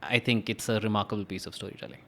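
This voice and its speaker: A man's voice: lowish voice, animated, racing, gravelly, a bit bright. A man with an Indian accent chatting casually and with conviction on a podcast, telling stories informally and repeating points for emphasis. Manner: emphatic, informal storytelling with rhetorical repetition. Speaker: podcast guest. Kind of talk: casual opinionated podcast conversation.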